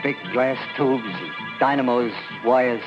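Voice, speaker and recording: A frightened working-class man , acted by a male performer in golden-age radio style. His talk is slangy, halting and plain, at a middling pitch. The recording is dull and old, with music clearly underneath. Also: steady tone, lightly acted. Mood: numb, haunted. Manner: colloquial, halting, plain-spoken. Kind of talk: old-time radio drama acting.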